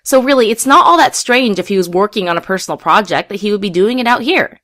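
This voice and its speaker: A young female unicorn character acted by a woman with a medium-pitched voice. She is bookish and eager, explaining with theatrical enthusiasm as in a cartoon audio drama. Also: expressive, fast talker, a bit bright, close to an everyday voice. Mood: eagerly explaining. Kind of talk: fan audio drama acting.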